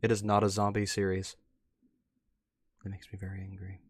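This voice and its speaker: Casual excitable man, close dry sound. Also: low voice, normal speed, breathy edge, no room sound. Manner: slangy, excitable, mild euphemistic swearing. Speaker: streamer reacting to videos. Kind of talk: casual live commentary.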